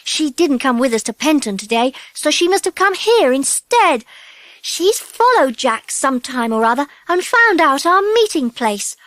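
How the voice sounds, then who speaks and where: An excitable British schoolchild from an old-fashioned storybook gang. The voice is high and swoops in lively exclamations, earnest throughout, recorded close and dry. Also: female voice, a little slow, no echo, exaggerated voice. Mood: indignant. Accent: British English.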